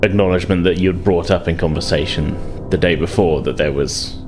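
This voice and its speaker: A man with a fairly low voice runs a tabletop roleplaying game. He improvises his narration in hesitant fragments, circling and qualifying as he describes each scene. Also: brisk, over music. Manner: hesitant, heavily hedged, piecemeal phrasing. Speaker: game master.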